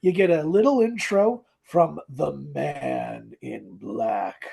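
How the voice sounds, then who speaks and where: Man, high voice, husky, animated storyteller, casual podcast chat. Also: takes it slowly. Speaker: podcast co-host. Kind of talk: casual chat, retelling a radio drama.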